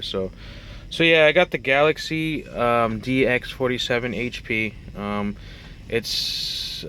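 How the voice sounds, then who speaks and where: A man's voice: fairly low pitch, pushed and bright. A man talking casually and unscripted as he shows off his gear, with a hesitant, rambling, self-correcting manner. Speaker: truck driver and CB radio hobbyist showing his gear. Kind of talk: casual unscripted show-and-tell to camera. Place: inside the cab of a semi truck. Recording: faint vehicle cab noise behind voice.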